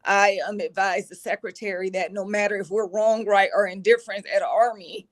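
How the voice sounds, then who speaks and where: A middle-aged woman with a raspy voice, speaking earnestly and reflectively as an interview guest, with many fillers. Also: mid-range voice, little pitch movement, brisk. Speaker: guest, executive communication advisor. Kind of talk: conversational interview.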